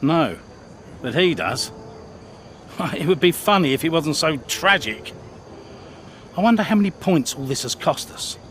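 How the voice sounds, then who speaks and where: A man's voice: on the high side, sing-song, measured pace, long pauses, a bit bright, slight background noise. An actor playing a sardonic male team boss in a game cutscene, dry and scornful in manner.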